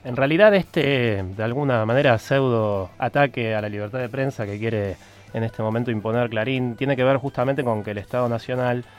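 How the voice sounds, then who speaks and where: A man's voice: medium pitch, moderate pace, soft background music. A man with an Argentine Spanish accent giving political commentary as a radio panelist, in a dull lo-fi recording.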